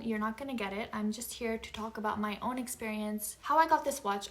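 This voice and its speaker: A woman from Japan, a native Japanese speaker, talking in a somewhat high voice. She chats casually to camera and leans on filler words as she goes. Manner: chatty, conversational, frequent filler words. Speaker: vlogger. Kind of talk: casual unboxing chat.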